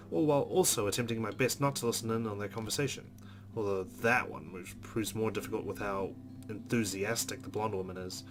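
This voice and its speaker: A man with a fairly low voice narrates a visual novel as a let's play host, dropping in his own asides. Music plays softly behind him.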